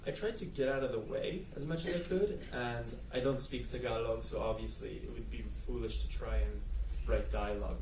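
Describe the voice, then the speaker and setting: A man's voice: medium pitch, a little mellow, slight background noise. A man from the United States, a film director, answering questions in a festival Q&A in a thoughtful, earnest way with fillers. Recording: echoey room, mic at a distance.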